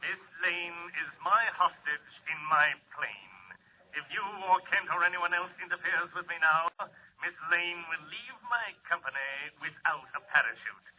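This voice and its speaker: Cold, formal male villain for a 1940s radio serial, slightly high voice, muffled noisy recording. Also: slightly rough. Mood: gloating, menacing. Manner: formal, deliberate, threatening. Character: menacing male criminal mastermind, cold and commanding. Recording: noisy, band-limited old radio recording.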